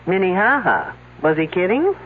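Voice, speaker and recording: An actress playing a sharp-tongued woman detective with a dry, sarcastic way of talking. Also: lowish voice, big pitch swings, moderate pace, breathy edge. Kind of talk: 1970s radio drama acting. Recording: tinny old radio broadcast.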